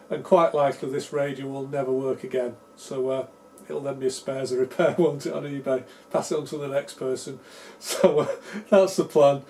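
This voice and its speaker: A man's voice: mid-range voice, a little mellow, slight background noise. A man presenting an electronics repair video in a casual, unscripted way, explaining each step tentatively and with self-deprecation. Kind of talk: casual unscripted technical walkthrough.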